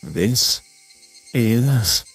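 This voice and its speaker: A woman using a voice-changer filter that lowers her voice into a man's, reacting with amusement. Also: lively intonation, drawn-out words, slightly rough, faint music behind, heavily acted. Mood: amused. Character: grown man.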